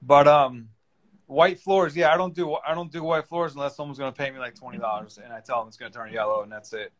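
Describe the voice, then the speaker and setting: A man's voice: medium pitch, quick pace, fairly bright tone. A man in his forties from the United States, chatting casually on a video call and often repeating words as he goes. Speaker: contractor, panel guest. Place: indoor room, reclining. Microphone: phone at arm's length.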